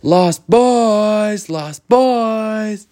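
A man voicing an excitable, eager young girl adventurer in a children's story, exclaiming and repeating phrases. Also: medium pitch, takes it slowly, gravelly, husky, pitched above the performer's own voice, exaggerated voice. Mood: excited.

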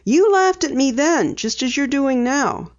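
A woman voicing an eager, earnest teenage girl sleuth in a storybook reading, high and insistent. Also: sing-song, measured pace, dull audio. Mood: teasing. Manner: bright, earnest, high-pitched pleading.

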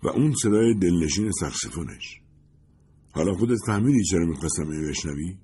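A man's voice: low-pitched, very animated, mellow, husky, heavily acted. A man voicing a talkative, glamorous woman who gushes and wants to be liked. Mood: eager. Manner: gushing, eager to please.

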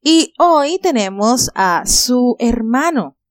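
A woman's voice: on the high side, expressive, slow pace, no room sound. A woman hosting an interview, introducing her guest with admiring words and frequent fillers. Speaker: host. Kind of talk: interview podcast.

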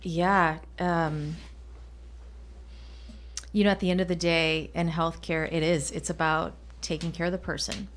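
A woman with a slightly low voice speaks as a guest in a conversational interview. Her answers are reflective and thoughtful, and she sometimes halts as she searches for phrasing. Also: level tone, a little slow, pauses often. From Colombia. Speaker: interview guest, healthcare department leader.

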